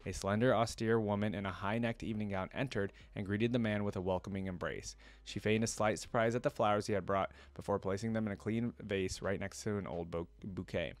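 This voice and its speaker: A man's voice: fairly low pitch, fairly even intonation, brisk, hardly any pauses, no room sound. A young adult man reading a story aloud and adding casual commentary as a reaction video host. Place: indoor room at a computer desk.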